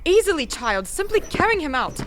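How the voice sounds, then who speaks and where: A woman's voice: extremely high pitch, sing-song, moderate pace, background noise. A woman playing an older, haughty queen mother in an audio drama, theatrical and emphatic. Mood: outraged, scolding. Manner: imperious, theatrical, emphatic repetitions. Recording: music under the voice.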